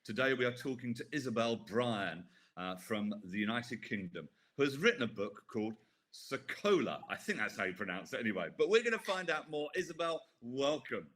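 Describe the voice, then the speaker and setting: This is a male podcast host talking to his guest over a video call. His pitch rises and falls a lot, giving his speech a lively swing. He comes across as chatty and upbeat, with easy laughs. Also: brisk. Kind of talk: casual interview.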